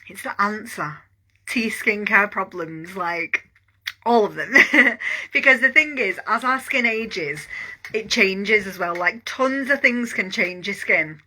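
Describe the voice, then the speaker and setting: Woman, 40s, very bright tone, congested with a cold, chatty and keen, selling to camera. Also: medium pitch, lively intonation, slow, low-quality recording. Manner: chatty, enthusiastic, rambling with fillers. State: congested with a cold and sore throat. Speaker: online business coach. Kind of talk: casual vlog product pitch.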